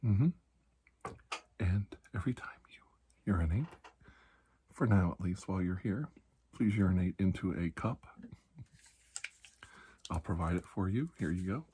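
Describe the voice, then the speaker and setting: A man playing a calm, reassuring doctor in an ASMR roleplay, speaking softly and slowly with pauses, often near a whisper, in a breathy, husky voice. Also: low voice, a little hiss or hum. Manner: slow, calming, deliberate with long pauses. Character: calm, reassuring older military doctor treating alien infection.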